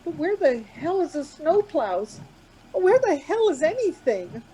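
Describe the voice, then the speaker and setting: A woman's voice: big pitch swings, a little slow, mellow, low-quality recording. An actress playing an anxious, coaxing woman traveller in a radio play, urgent and reassuring by turns. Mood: tense, encouraging. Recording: background noise with vehicle rumble.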